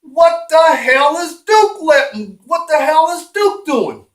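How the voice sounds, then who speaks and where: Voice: mid-range voice, lively intonation, a little slow, a bit bright, pitched above the performer's own voice. A storyteller playing an irritable homeowner, a man who talks in a blunt, emphatic, indignant way. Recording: roomy, echoing room sound.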